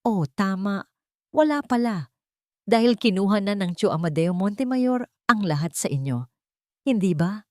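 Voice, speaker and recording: A woman's voice: medium pitch, expressive, normal speed, dark tone, no echo, clean studio sound, voice pushed up, natural voice. A woman voicing a hard, unfeeling male corporate lawyer in a storytelling narration, stern, cold and formal. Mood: taunting.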